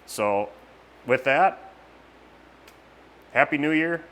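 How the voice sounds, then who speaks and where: A man giving a casual update to camera as a machine shop host, plain-spoken and halting with pauses. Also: on the high side, expressive, a bit bright. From United States.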